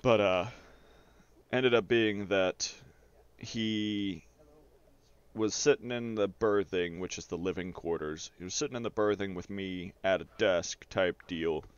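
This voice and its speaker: A man with a lowish voice tells personal anecdotes in a loose, rambling way, as if streaming a game to friends. His language is salty and informal. The audio is a little dull and band-limited, like voice chat. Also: unhurried, clean tone. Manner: rambling, profane, often chuckles while talking. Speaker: streamer telling personal stories while gaming. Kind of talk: casual anecdotal storytelling over gameplay.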